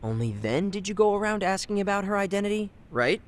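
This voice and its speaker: A man's voice: sits high, big pitch swings, fast. An actor playing an earnest young man, speaking casually and directly in a video game drama. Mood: hurt, pressing. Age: young adult. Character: earnest young man seeking answers about his mother. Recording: music behind the voice.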